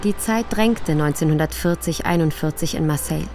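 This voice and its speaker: A woman's voice: low voice, brisk, a little mellow, soft background music. A woman reading scripted narration as the narrator of a radio documentary.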